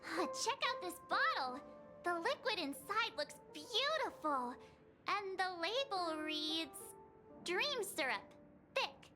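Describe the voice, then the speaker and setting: A woman playing an expressive, bubbly but wary young girl in a fantasy video game. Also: extremely high pitch, takes it slowly, music in the background, full character voice. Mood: curious, wary.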